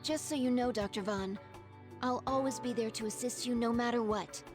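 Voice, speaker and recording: An actress playing a sweet, loyal young female medical assistant in an animated game drama, polite and earnest. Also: fairly high pitch, normal speed, over music, close to an everyday voice. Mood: sincere.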